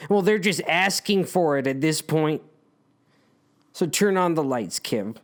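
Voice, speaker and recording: A man's voice: fairly high pitch, lively intonation, unhurried. A man reading his own story as dramatic narration, speaking to the characters in a wry, theatrical, conspiratorial way.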